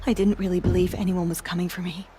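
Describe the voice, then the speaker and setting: A woman's voice: lowish voice, flat delivery, fast talker, noisy background, lightly acted. An actress playing an earnest, wronged woman in a video game, with a heartfelt, emotional delivery. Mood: grateful, sorrowful. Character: earnest, wronged woman, bereaved daughter and sister.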